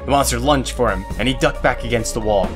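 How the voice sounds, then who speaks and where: A man narrating a scripted drama in a measured, suspenseful storytelling manner. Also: mid-range voice, music in the background.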